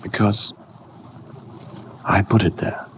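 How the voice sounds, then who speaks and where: An actor playing a tormented, fatalistic husband in a 1950s radio drama, speaking in a grave, weary, measured way. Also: male voice, a bit bright. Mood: grave confession. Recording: noisy old 1950 radio broadcast.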